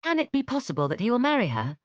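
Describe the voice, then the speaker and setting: A woman voicing a quick, anxious young woman who speaks with urgent, pleading insistence. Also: on the low side, expressive, dry recording, dull audio, pitched above the performer's own voice, natural voice. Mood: astonished.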